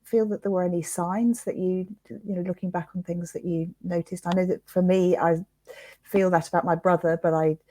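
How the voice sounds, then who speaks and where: A woman interviewing in a gentle conversational style, tentative and sympathetic. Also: fairly low pitch, fairly even intonation, normal speed.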